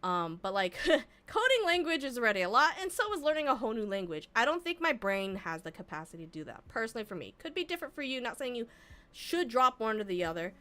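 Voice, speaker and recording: Bright-voiced young woman streamer rambling earnestly. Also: a little high, very animated, fast talker, smooth. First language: Vietnamese. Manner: earnest, rambling, with frequent laughs and restarts. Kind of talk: casual advice chat to stream chat.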